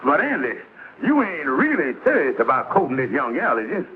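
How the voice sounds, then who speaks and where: A man's voice: a little high, wildly swooping pitch, moderate pace. A man playing a wry, needling, scheming pal with a folksy, exclamatory delivery in a 1950s American sitcom. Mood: skeptical. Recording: very noisy, phone-like vintage TV soundtrack.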